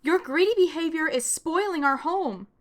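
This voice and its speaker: A woman's voice: very high-pitched, expressive, normal speed, voice pushed up. A woman reading a storybook as a small, brave, earnest girl pleading for her forest. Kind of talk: children's storybook read-aloud.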